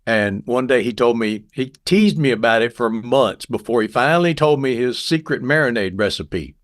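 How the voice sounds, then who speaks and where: A man's voice: medium pitch, moderate pace, no room sound, clean studio sound. A man from Texas co-hosting a podcast, telling anecdotes in a casual, joking way like a former radio presenter. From Texas, United States. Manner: animated, joking, acts out quoted dialogue.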